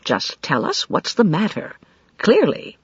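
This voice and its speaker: Calm, firm older wife, read by a woman. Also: lowish voice, unhurried, breathy edge, dry recording, voice pushed up, exaggerated voice. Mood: sleepy calm. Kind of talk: audiobook reading. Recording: dry, slightly dull studio recording.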